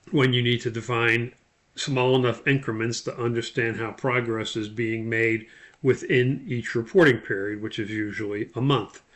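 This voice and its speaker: A man teaching as a course instructor, explaining material in a halting lecture style with frequent uhs. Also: lowish voice, fairly bright tone. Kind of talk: instructional lecture on project management.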